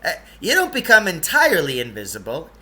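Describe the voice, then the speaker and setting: A man's voice: on the high side, huge pitch swings, brisk, pushed and bright, voice pushed up, full character voice. A man voicing a jumpy, fussy and proud guide from another world in a storybook, hesitant at times and touchy. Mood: explaining. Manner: hesitant stammering, then emphatic and touchy.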